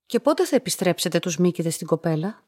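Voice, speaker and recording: An actress playing a polite, curious young job applicant in a radio play, asking questions tentatively. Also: female voice, fairly low pitch, sing-song, darkish tone. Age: young adult. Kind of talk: scripted radio drama dialogue.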